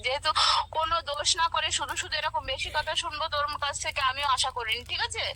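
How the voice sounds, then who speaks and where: Sharp, high-pitched, indignant woman. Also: fast. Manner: indignant, defensive, repetitive. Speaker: friend receiving a prank call. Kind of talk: heated informal phone argument.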